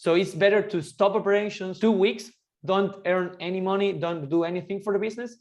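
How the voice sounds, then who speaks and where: A man, talking eagerly and quickly as an interview guest, often restarting his sentences. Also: high-pitched, steady tone, highs cut off. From Argentina. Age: 20s. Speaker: guest entrepreneur. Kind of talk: casual interview chat.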